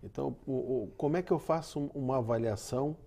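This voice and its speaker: A man's voice: medium pitch, quick pace, vocal fry, dark tone. A man interviewing a guest, asking practical questions with hesitant fillers.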